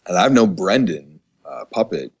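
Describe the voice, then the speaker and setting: A man's voice: low-pitched, very animated, normal speed, breathy edge. A man talking casually as a musician guest on a podcast.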